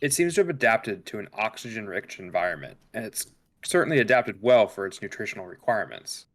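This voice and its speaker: A man's voice: lowish voice, big pitch swings, fairly quick, a bit bright, heavily acted. A man playing a cool, pedantic science officer on a spaceship, precise and clinical in a scripted read. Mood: dismissive.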